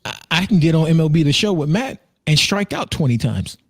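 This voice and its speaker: A man's voice: fairly high pitch, sing-song, a little fast, breathy edge, no echo, studio quality. An American man in his thirties chatting casually as a co-host, joking, repeating himself and swearing freely. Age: 30s. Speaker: podcast co-host and streamer. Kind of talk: casual group chat.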